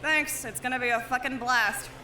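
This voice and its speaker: A woman's voice: high voice, moderate pace, echoey room, heavy background noise, natural voice. A woman voicing a brash, bossy young woman who swears constantly, in a fan audio drama.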